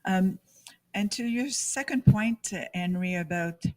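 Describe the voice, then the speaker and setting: A woman moderating a panel discussion, speaking haltingly with many restarts and fillers. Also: mid-range voice, rough recording.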